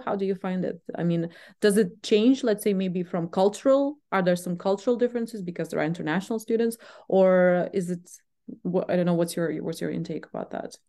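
Mellow-voiced female interviewer. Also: mid-range voice. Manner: reflective, restating points and asking follow-ups. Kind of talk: interview conversation.

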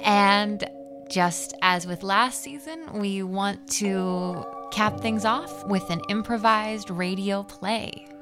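A woman's voice: mid-range voice, takes it slowly. A woman hosting a show, giving an introduction. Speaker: show host. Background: music.

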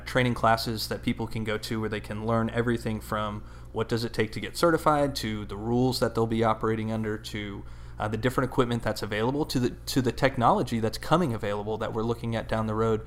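Male co-host, fairly deep, hesitant conversational delivery, slight background noise. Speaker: podcast co-host. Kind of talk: conversational podcast discussion.

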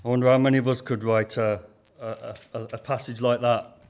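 Halting male preacher. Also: medium pitch, flat delivery, a little mellow. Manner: halting, repeats words. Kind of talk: sermon.

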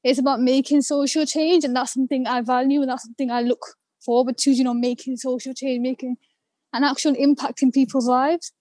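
Young woman from northern england, student, high voice, chatty, dry close sound. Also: steady tone, brisk, warm tone, dry recording. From Manchester, England. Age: young adult. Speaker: student podcast guest. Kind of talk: casual chat.

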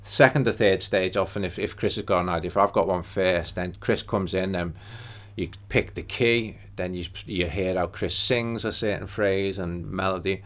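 Male guitarist guest, lowish voice, methodical and explanatory in a relaxed studio chat. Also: moderate pace, hardly any pauses. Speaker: guitarist and songwriter, interview guest. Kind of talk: casual chat interview.

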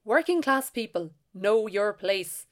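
Woman reading out a sharp sarcastic essay, mid pitch, clear delivery. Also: expressive, slow. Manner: sardonic, wordy.

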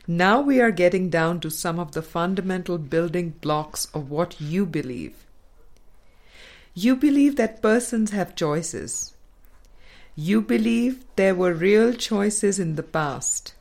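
A woman reading a spiritual book aloud as scripted narration, in a deliberate, measured manner with pauses between phrases. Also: on the low side, expressive, gravelly, darkish tone. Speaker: audiobook reader.

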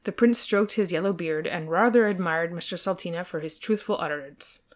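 A woman's voice: fairly low pitch, brisk. A woman podcast host giving an amused, dramatic reading of a comic novel.

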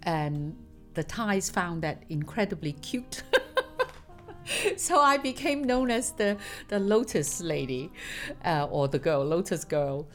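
Woman in her 70s, low-pitched, anecdotal, chuckling, soft music faintly behind. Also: expressive, takes it slowly. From Hong Kong. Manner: anecdotal, laughs between stories. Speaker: singer interviewed as guest. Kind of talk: reminiscing interview.

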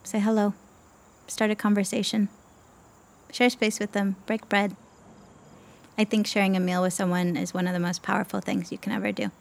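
Creaky-voiced woman, deliberate interview guest. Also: mid-range voice, fairly even intonation. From Alaska, United States. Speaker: podcast guest, executive director of an arts venue. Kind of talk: reflective interview talk.

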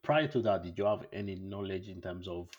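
Deep, husky male interview host. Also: animated, fairly quick, darkish tone, dull audio. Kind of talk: casual interview hosting.

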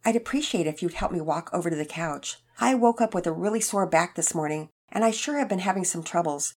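A woman voicing a sweet, frail elderly man in a story, with a gentle, folksy, rambling manner. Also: on the low side, fairly quick, husky. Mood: grateful, folksy. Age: older. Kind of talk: acted character dialogue in children's story narration.

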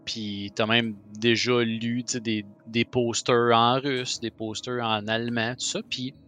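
A man's voice: medium pitch, little pitch movement, unhurried, a bit bright. A man with a Quebec French accent, narrating as game master in a casual voice call, chatty, with many fillers.

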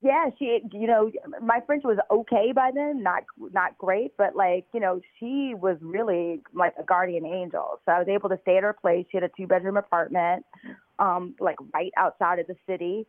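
A woman's voice: medium pitch, normal speed, dry recording. An American woman telling her story as an interview guest over a phone call, chatty and full of fillers. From United States. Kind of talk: conversational interview. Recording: tinny phone line.

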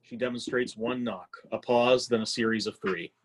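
Mid-pitched man reading stage directions. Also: steady tone, moderate pace, rough recording. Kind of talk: scripted narration of stage directions.

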